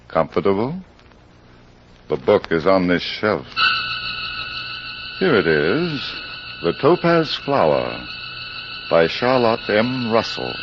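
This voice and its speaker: Very low-voiced crime librarian, suspenseful radio storyteller, muffled hissy old recording. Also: male voice, full character voice. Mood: inviting, ominous. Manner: theatrical, suspenseful, deliberate phrasing. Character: ominous male librarian host of a crime club. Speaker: host and narrator. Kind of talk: 1940s radio mystery narration.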